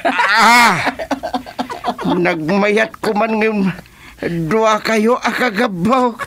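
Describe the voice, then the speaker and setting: A man's voice: sits high, extremely slow, very noisy, full character voice. A man with an Ilocano accent playing a panicky older captive soldier in a comedic radio drama, stammering and exclaiming. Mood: excited dismay.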